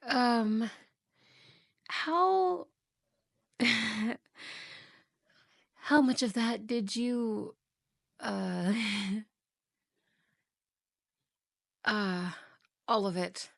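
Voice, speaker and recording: A woman's voice: on the high side, very slow, gravelly, pauses often, exaggerated voice. A woman playing a cheerful, chatty elf who loves Christmas and passes as a human roommate, in an ASMR roleplay. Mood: sheepish, awkward. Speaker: roleplay voice actress.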